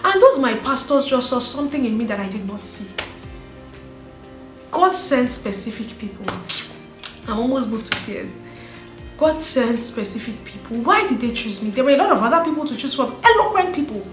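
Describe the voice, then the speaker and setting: A woman's voice: on the high side, moderate pace. Earnest woman telling her story. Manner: earnest, animated, quoting others in her own voice. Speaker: vlogger sharing her story. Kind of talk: personal storytelling. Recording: echoey room with faint music.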